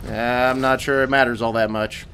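A man's voice: mid-range voice, level tone, normal speed, a bit bright, slight background noise, close to an everyday voice. A man playing a dismissive, cocky slacker of an army private in a comedic radio play, sneering and nonchalant.

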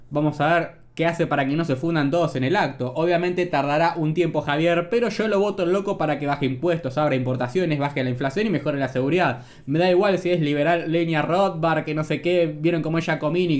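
Casual Argentine man, slightly high voice. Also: a little fast. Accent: Argentine Rioplatense. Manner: casual, slangy, rambling. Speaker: YouTube political commentator. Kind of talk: informal opinion commentary.

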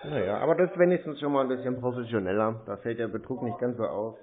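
A man's voice: medium pitch, quick pace, crowd noise. Laid-back man, muffled call audio. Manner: laid-back, dryly teasing. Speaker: scam-baiting YouTuber on a phone call. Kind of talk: casual teasing phone conversation. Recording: muffled narrow-band phone call audio.

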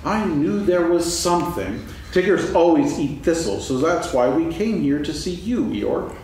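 A gentle, earnest young bear, as a man reads him, somewhat high, with a lively, sing-song pitch. It is heard from a distance in a very echoey hall with faint noise. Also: a little slow. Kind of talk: staged reading of a children's play. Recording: echoey stage, distant mic, background noise.

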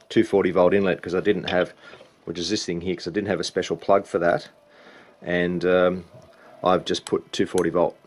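A man's voice: deep voice, a little hiss or hum. A man giving an informal, step-by-step repair walkthrough at a workbench. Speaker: repair hobbyist demonstrating a belt change. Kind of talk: casual hands-on repair walkthrough.

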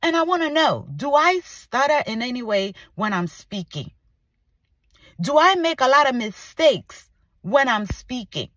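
A woman's voice: high-pitched, very animated, a little slow, fairly bright tone, dry recording. A woman in her forties from Ghana, with an accent between Ghanaian and American, speaking passionately to her followers in a vlog. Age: 40s. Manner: passionate, emphatic, repeats for emphasis. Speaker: content creator. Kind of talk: direct-to-camera vlog.

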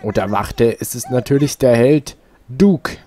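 A man voicing an excitable video game festival-goer gushing over favourite puppet heroes, with drawn-out, emphatic delivery. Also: mid-range voice, natural voice.

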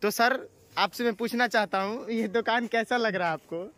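Chatty high-voiced man filming a village vlog. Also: normal speed, very noisy. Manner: chatty and teasing, addresses viewers as guys. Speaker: vlogger. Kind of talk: casual village vlog chat. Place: outdoors on a rural village road. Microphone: phone held at arm's length.

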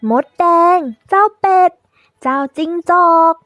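A female storyteller reads a tale for young learners in a high-pitched voice. Her delivery is slow and deliberate, stretching out words as she goes. The room adds some echo, and soft music plays faintly underneath. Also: clean studio sound. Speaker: storyteller for a children's teaching tale. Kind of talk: scripted children's storybook narration.

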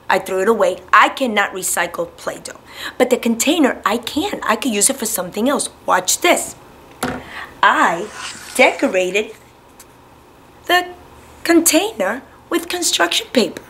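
A woman in her thirties teaching and reading to young children in an animated, simple, questioning way. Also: on the high side, unhurried. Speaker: preschool teacher. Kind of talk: lesson and read-aloud for young children.

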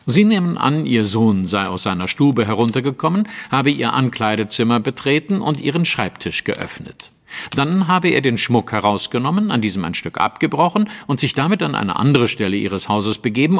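A cool analytical detective in a radio play, precise and formal, medium pitch. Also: male voice, a bit bright. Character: analytical, composed male detective. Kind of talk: audio drama acting.

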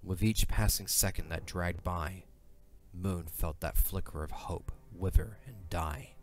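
Deep-voiced male narrator. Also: unhurried. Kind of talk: scripted dramatic fantasy narration. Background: music.

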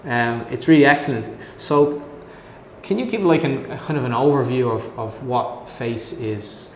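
A man's voice: medium pitch, unhurried. A man in his thirties with an Irish accent, hosting an interview in a casual, enthusiastic way in an office meeting room. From Ireland. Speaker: interviewer, host. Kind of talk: conversational interview. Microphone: lapel mic. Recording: roomy room with faint noise.

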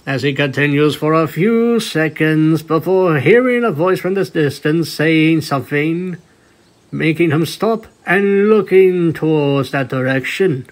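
A man reading a story aloud as a scripted narration. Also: fairly high pitch. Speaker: fanfiction reader, narrator.

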